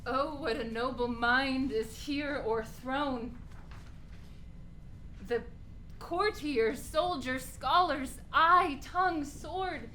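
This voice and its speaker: Slow, high-voiced heartbroken young noblewoman played by a woman. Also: bright, forceful tone, a little hiss or hum, full character voice, low-quality recording. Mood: grieving. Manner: slow, lamenting verse delivery. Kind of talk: Shakespearean radio play acting.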